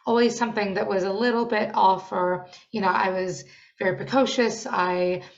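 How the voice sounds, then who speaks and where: A young woman with a New Zealand accent chats as a podcast guest, loud and lively, quick to laugh. Her voice sits at a middling pitch. It comes through a slightly dull, lo-fi remote line. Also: gravelly. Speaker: podcast guest, songwriting coach. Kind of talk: casual interview chat.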